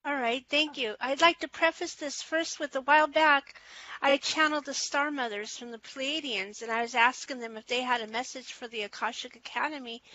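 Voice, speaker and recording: Bright high-voiced woman co-host. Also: dry recording, highs cut off. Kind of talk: spiritual talk show chat.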